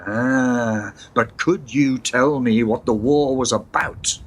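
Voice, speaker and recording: A man's voice: mid-range voice, takes it slowly. An older man playing an eccentric old grandfather and inventor in a radio play, wry, teasing and theatrically portentous. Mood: brisk, prodding.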